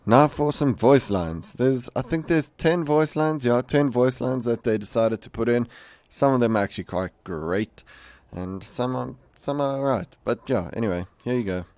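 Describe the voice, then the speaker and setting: A man hosting a gaming video with casual, rambling commentary, halting and correcting himself as he goes. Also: medium pitch, darkish tone. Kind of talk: casual game showcase commentary. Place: voice-over on game capture.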